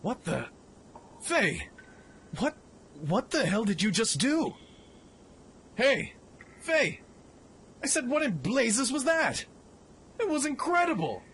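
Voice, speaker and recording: Man playing a brash, animated young pilot. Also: very high voice, slow, pauses often, exaggerated voice. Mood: astonished. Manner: brash, cocky, exclamatory. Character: Bart, brash excitable young male mech pilot. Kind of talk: fan radio-play acting. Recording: clearly noisy background ambience.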